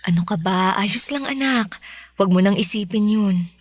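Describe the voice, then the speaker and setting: A woman playing a caring, expressive mother in a Filipino radio drama. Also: medium pitch, normal speed, no room sound, clean studio sound, natural voice. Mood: tender. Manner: tender, expressive, motherly scolding. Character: caring, teasing, worried Filipino mother of a sick son.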